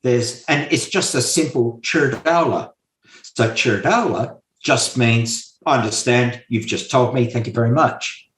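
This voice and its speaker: Male interview guest explaining study methods, slow and measured, stresses points by repeating them; echoey room sound. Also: mid-range voice, a bit bright. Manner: slow, deliberate, repeats key points with hesitant fillers. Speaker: guest expert on memory and mind maps. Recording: roomy echo.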